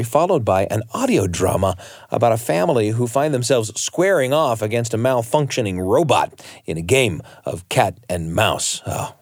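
A man hosting a radio show with expressive, deliberate, storyteller-like phrasing. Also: lowish voice, no echo, studio quality. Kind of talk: radio hosting, scripted intros and round-table chat.

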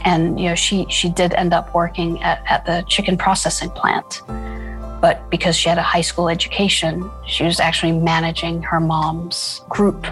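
A woman telling stories from her work in an interview, earnest and reflective, with many pauses and fillers. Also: lowish voice, level tone, a bit bright, faint music behind, low-quality recording. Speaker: founder of a refugee school. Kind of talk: interview storytelling.

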